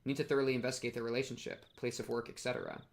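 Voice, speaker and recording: A man's voice: mid-range voice, little pitch movement, rapid-fire, creaky. A young man giving casual, slangy playthrough commentary on a visual novel and reading text aloud. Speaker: streamer playing a visual novel.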